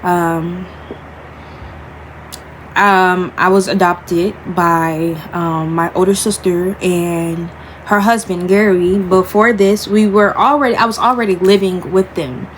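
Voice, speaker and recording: A woman's voice: lowish voice, steady tone, slight background noise, rough recording. A young woman from Florida telling her own story in a casual storytime vlog, hesitant, with many fillers and restarts. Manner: halting, many fillers and restarts, keeps asking "you feel what I'm saying". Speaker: vlogger.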